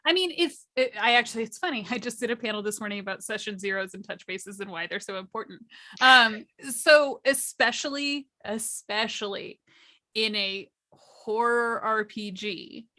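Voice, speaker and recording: A woman's voice: a little high, normal speed, bright, forceful tone. A woman speaking as a panelist in a casual discussion, with playful, dramatic emphasis. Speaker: workshop panelist.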